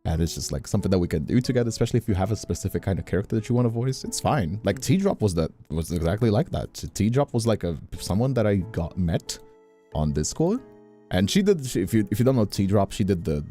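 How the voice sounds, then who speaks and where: Low, mellow man with a swooping pitch. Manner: rambling, halting, repeats himself. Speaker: streamer and content creator. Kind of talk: casual livestream chat. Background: faint music.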